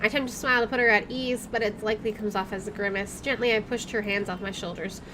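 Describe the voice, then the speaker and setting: A woman's voice: mid-range voice, fairly even intonation, quick pace, rough recording. A woman playing a visual novel, reading the text aloud dramatically and reacting with casual commentary. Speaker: gamer doing a playthrough. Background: soft game music.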